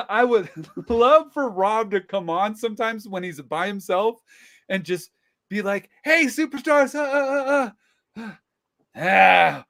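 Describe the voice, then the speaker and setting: A man's voice: high voice, expressive, slow pace, vocal fry, fairly bright tone. A man co-hosting a casual livestream, playful and joking, telling a story. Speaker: livestream co-host.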